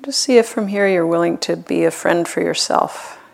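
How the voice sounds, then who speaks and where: A woman guides a meditation in a fairly low voice with a pronounced creak. Her delivery is gentle and unhurried. She leaves long pauses between short phrases, as a teacher leading a practice. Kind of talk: guided loving-kindness meditation.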